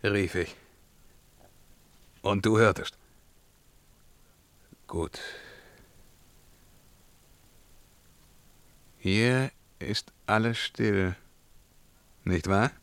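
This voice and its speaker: Tormented emperor, forceful and slow. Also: male voice, lowish voice, clear, resonant tone, no room sound, heavily acted. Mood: uneasy, suspicious. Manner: declamatory, swinging between outcries and hushed murmurs. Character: tormented brooding emperor, feverish, commanding and world-weary. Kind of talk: theatrical German verse radio drama acting.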